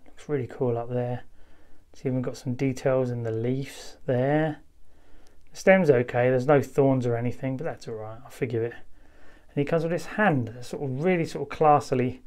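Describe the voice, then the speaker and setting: A man with a mid-pitched voice delivers casual review commentary. He talks in an unscripted, conversational manner. The delivery is easygoing and chatty, like a hobbyist reviewer showing items by hand. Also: darkish tone. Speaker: toy reviewer.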